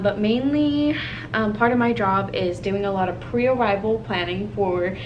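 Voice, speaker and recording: A young American woman from Hawaii chatting casually and informally to camera in her apartment, thinking aloud as she does her makeup. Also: medium pitch. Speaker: video blogger. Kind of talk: casual chat to camera while doing makeup. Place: indoors in an apartment. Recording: clearly noisy home recording.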